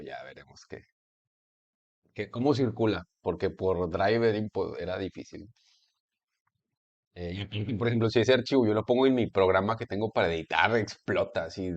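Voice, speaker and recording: A man with a Mexican Spanish accent giving a casual commentary monologue, rambling with many fillers, restarts and sarcastic asides. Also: fairly low pitch. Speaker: video essayist. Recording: lo-fi home computer recording.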